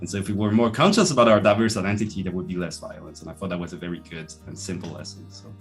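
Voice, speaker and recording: A man in his thirties from French-speaking Switzerland, with a French accent, answering interview questions thoughtfully over a video call from his office, his sentences trailing off softly. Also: deep voice, very fast, faint music behind. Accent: French-speaking Swiss. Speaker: guest, professor. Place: office with bookshelves. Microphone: video call webcam.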